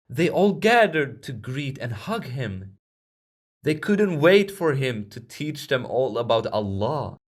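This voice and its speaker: A man narrating a children's storybook as a storyteller, in an expressive, measured way. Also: medium pitch.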